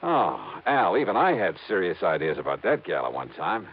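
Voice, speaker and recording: A man's voice: sits low, very animated, normal speed, slightly rough, heavily acted. An actor playing a wisecracking male insurance investigator in a 1950s radio drama, breezy and wry. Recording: muffled old radio recording.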